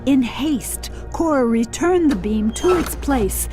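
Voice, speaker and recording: A woman with a high voice narrates a dramatic fantasy audiobook. She takes her time over the formal, theatrical phrasing. Faint music plays underneath. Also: expressive, slow pace. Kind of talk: scripted dramatic storybook narration.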